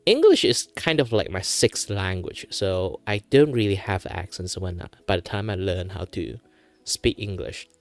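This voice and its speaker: A man's voice: deep voice, animated, normal speed, soft background music. A man in his thirties from Malaysia whose first language is Chinese, chatting casually on a drawing stream with lots of fillers and laughs. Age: 30s. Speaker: streamer and aspiring voice actor.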